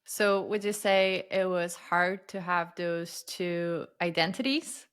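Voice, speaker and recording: A woman's voice: fairly low pitch, takes it slowly, a bit bright, clear, resonant tone. A woman hosting a podcast, asking friendly, short questions with some fillers. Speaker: podcast host. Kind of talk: casual interview questions.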